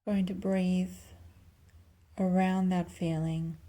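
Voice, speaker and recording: A woman leading a guided meditation softly and calmly, with long pauses and a breathy, near-whispered delivery. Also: on the low side. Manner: soft, calm, unhurried instruction with long pauses. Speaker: clinical psychologist guiding a meditation.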